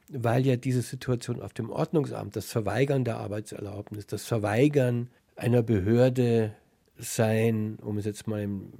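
A male radio interviewer talks analytically at a medium pitch. His voice is husky, with plenty of creak. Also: dark tone. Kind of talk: analytical interview talk and scripted sign-off.

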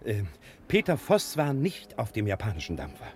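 An actor playing a flustered, solicitous gentleman detective with a courteous, stammering manner in a German television drama. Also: on the low side, wildly swooping pitch, moderate pace, slight background noise.

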